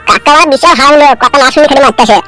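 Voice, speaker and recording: A woman voicing an excitable, bickering villager in a comedy cartoon, whiny, with a pitched-up, sped-up voice. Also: very fast. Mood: pleading. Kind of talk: exaggerated cartoon comedy dialogue.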